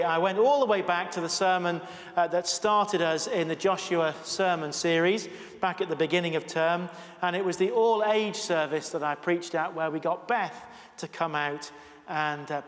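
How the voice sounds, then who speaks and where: A male preacher giving a sermon in a church, chatty with self-deprecating asides. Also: a little high. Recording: long church reverb.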